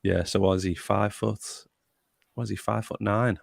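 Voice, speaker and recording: A man's voice: lowish voice, fairly even intonation, measured pace, gravelly, dry recording, clean studio sound. A young man hosting a casual podcast chat and reading out viewer comments. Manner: chatty, reads out viewer comments. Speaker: podcast host.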